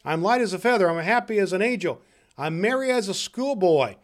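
A man acts out a reformed old miser in a storybook tale, giddy with joy. The voice is raised high and loud, exuberant and exclamatory, full of eager goodwill. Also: expressive, normal speed.

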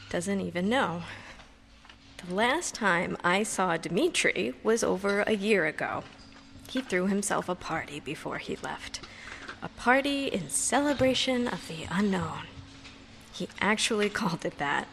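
Grieving young woman, creaky voice. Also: mid-range voice, a bit bright. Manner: halting, rambling, emotional, with nervous repeats. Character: grieving, self-dramatizing young woman speaking at her brother's memorial. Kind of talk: audio drama monologue, naturalistic confessional speech.

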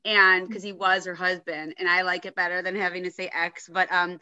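Bright-voiced woman hosting a podcast. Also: lowish voice, little pitch movement, brisk, smooth, muffled recording. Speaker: podcast host and divorce coach. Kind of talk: podcast interview with a scripted intro.

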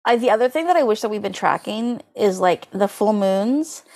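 Female podcast co-host, medium pitch, informal and conversational, lots of "like" as filler. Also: lively intonation, moderate pace, a little mellow. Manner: conversational, heavy use of "like". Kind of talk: casual astrology podcast chat.

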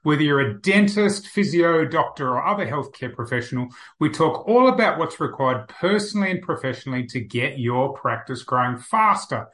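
A man hosting an interview podcast, introducing a guest and asking quick questions in an upbeat, brisk way. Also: a little high, animated, a bit bright. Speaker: podcast host.

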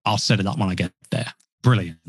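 A man's voice: lowish voice, fast, slightly rough, no room sound, studio quality. A man chatting as a podcast guest, rambling and self-deprecating with frequent restarts. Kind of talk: casual interview talk. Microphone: podcast mic.

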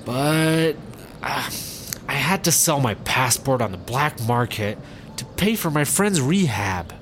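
A man acts as a blustering American ex-cop, always working some scheme. His delivery is unhurried and swings up and down in pitch. It is comedic audio drama, with faint ambience behind the voice. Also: expressive, a bit bright, slight background noise. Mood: sheepish, pleading.

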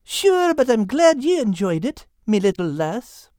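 Woman playing a folksy, high-pitched animal fellow. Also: big pitch swings, measured pace, mellow, no room sound, studio quality. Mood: bashful, fond. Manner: folksy, unassuming. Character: simple, good-natured, affectionate male animal fellow.

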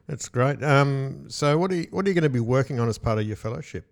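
A man's voice: mid-range voice, lively intonation, fast, creaky. A man hosting a radio interview, friendly and a bit hesitant, with frequent restarts. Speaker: radio host.

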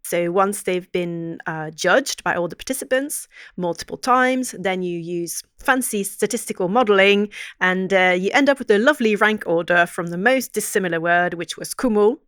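A woman podcast co-host explaining her research in a casual, thoughtful way, often pausing with fillers and restarting sentences. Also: mid-range voice, a bit bright, smooth, no room sound, studio quality.

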